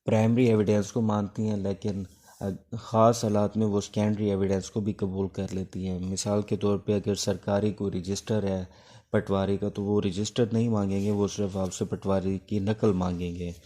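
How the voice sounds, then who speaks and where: Man, Pakistani accent, lowish flat voice, soft mellow tone, law lecturer, halting exam-prep delivery. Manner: halting, instructive, with pauses between phrases. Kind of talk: informal exam-prep lecture with English legal terms.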